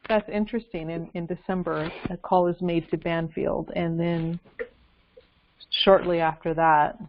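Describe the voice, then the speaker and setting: A woman's voice: lowish voice, little pitch movement, unhurried. A woman talking casually as a guest researcher in an investigative discussion, thinking aloud hesitantly. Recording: dull lo-fi call with faint noise, clicks.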